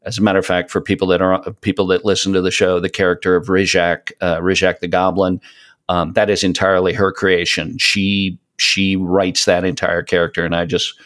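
A man with a deep voice speaks as a guest in a casual interview. He explains things with enthusiasm and tends to ramble as he goes, keeping a conversational podcast style. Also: flat delivery, brisk, fairly bright tone. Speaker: podcast guest, voice of an audio show.

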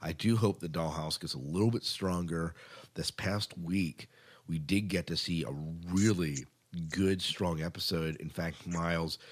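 A man's voice: sits low, unhurried, husky. A man co-hosting a podcast in casual chat about TV news, stressing points by repeating his words. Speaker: podcast co-host.

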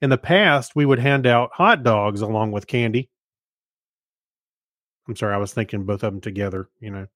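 A man's voice: fairly low pitch, normal speed, pauses often, a bit bright, no room sound, clean studio sound. A man co-hosting a podcast, chatting casually and reading out listener messages. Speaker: podcast co-host.

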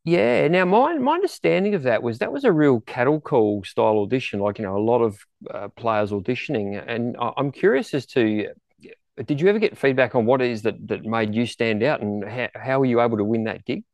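A man with an Australian accent co-hosting a podcast, asking questions in a casual, halting, roundabout way. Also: medium pitch, expressive, vocal fry, darkish tone, no room sound. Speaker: podcast co-host. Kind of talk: casual interviewing.

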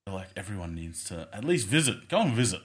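A man with a thick Australian accent, hosting a podcast in a relaxed, chatty way. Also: low-pitched, quick pace, a crowd in the background. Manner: laid-back, chatty, swears casually. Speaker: podcast host. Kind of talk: casual podcast interview.

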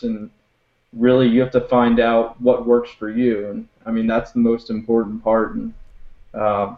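A young man in his twenties co-hosting a podcast, talking slowly and hesitantly with lots of fillers. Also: medium pitch, echoey room, dull audio. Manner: hesitant, filler-heavy, unhurried. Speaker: co-host. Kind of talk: casual podcast chat.

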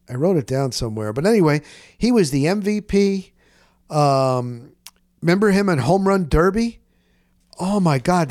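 A man hosting a sports talk show, telling stories in a casual, rambling, conversational way with an animated, exclamatory manner. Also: on the high side, no room sound, clean studio sound.

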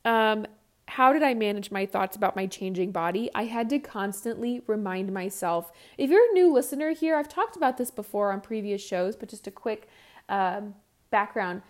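A woman's voice: medium pitch, expressive, normal speed. A woman in her thirties hosting a solo podcast, answering listener questions in a candid, chatty way with frequent restarts and self-deprecating asides. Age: 30s. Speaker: podcast host.

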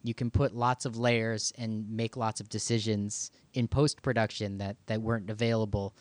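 Man, halting podcast host, dry sound. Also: fairly low pitch, level tone, dry recording. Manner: halting, thinking aloud with repeated words. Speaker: podcast host and audio producer. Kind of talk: casual conversational podcast talk.

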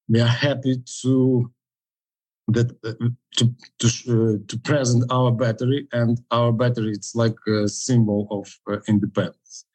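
A man with a Ukrainian accent, a company founder answering interview questions in halting English with many pauses and fillers. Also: medium pitch, darkish tone, low-quality recording.

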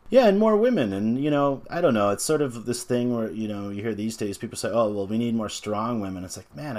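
Low-voiced reflective man. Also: a little mellow. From North America. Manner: reflective, hesitant, full of pauses and fillers. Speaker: tabletop game designer. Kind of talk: casual interview talk.

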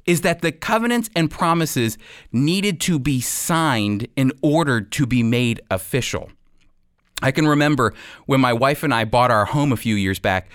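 A man with a mid-pitched voice teaches the Bible on the radio. His delivery swings expressively up and down, with emphatic stresses and deliberate pauses. The sound is close and dry, as in a studio. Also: dry recording. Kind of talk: radio sermon, Bible teaching with scripture reading.

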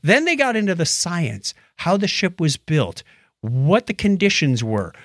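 A man's voice: fairly high pitch, big pitch swings, measured pace, no echo, clean studio sound. A man hosting a podcast, casually explaining and telling stories in a conversational way. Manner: explanatory, storytelling. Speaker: podcast host. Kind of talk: casual chat.

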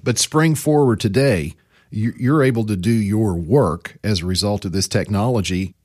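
Male podcast host, steady prepared delivery, low-ish pitch, dry close sound. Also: normal speed, dry recording. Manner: smooth, prepared questions. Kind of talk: podcast interview.

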